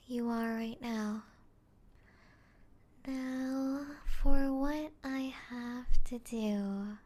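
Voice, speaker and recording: Woman acting a slow, high-voiced seductive vampire woman. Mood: sly, coaxing. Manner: breathy teasing, often dropping into whispers, soft laughs. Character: seductive, teasing female vampire toying with a hunter. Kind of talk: ASMR roleplay, intimate scripted acting.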